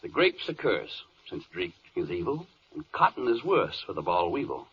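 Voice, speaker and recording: An actor playing a fussy interpreter who relays objections in rhyme in an old radio comedy. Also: male voice, low-pitched, sing-song, moderate pace, breathy edge, heavily acted. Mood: objecting. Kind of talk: 1940s radio comedy verse acting. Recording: muffled old radio recording.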